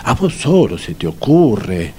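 An actor playing a vain, broke divorced man in a comic radio drama, whining and melodramatic, with a Rioplatense accent and a husky voice. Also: medium pitch, sing-song, slow pace, darkish tone, heavily acted. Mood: complaining. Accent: Rioplatense Spanish. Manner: whiny, melodramatic complaining. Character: Tito, broke divorced Buenos Aires man, vain and touchy.

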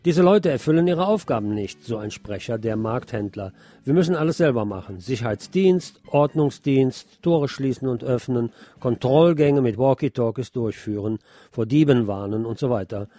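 A man presenting a scripted radio news commentary, reading out press reports in a measured, explanatory way. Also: mid-range voice, expressive, mellow.

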